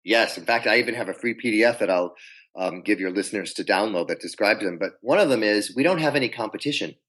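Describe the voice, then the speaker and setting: A man coaching on pitching in a podcast interview, telling stories and acting out quoted voices. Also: fast talker. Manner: animated storyteller acting out quotes.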